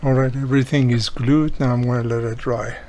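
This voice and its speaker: A man's voice: mid-range voice, fairly even intonation, fairly quick, mellow. A man who is not a native English speaker explains a hands-on repair step by step, unscripted, often checking in with the viewer. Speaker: radio repair hobbyist presenting a tutorial.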